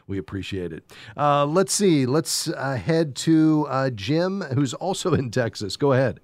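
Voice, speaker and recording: A man's voice: mid-range voice, sing-song, unhurried, no room sound. Guy hosting a radio call-in show, chatty advice, close dry studio sound.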